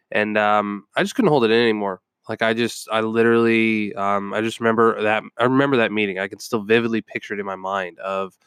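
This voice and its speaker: A man's voice: lowish voice, a bit bright, smooth, no room sound, studio quality. A young adult man with a stuffed-up cold, hosting a podcast and telling a personal story in a rambling way with many ums. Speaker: podcast host. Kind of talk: casual personal storytelling monologue.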